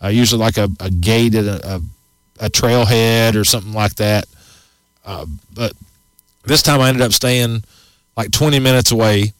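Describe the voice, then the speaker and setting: A man's voice: on the low side, steady tone, moderate pace, clear, resonant tone, no room sound. A man in his forties hosting a casual outdoors radio show, rambling and folksy with many restarts. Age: 40s.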